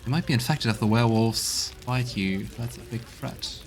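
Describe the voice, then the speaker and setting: A man's voice: medium pitch, normal speed. A man giving casual let's play commentary, musing and hesitant as he thinks aloud and reads out game text. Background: game music.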